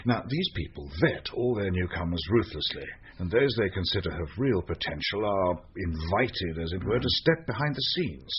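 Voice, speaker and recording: An actor playing a pompous, formal British secret service officer in a radio drama. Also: male voice, deep voice, sing-song, moderate pace, few pauses, husky, exaggerated voice. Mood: earnest, authoritative. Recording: muffled radio recording with hiss.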